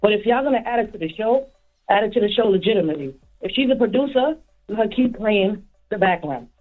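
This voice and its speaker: A woman from the Bronx on a phone-like line with faint music behind. She is a radio caller with a medium-pitched voice, offering her opinion casually and a little hesitantly. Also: lively intonation, fast talker. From the Bronx, United States. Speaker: radio call-in listener.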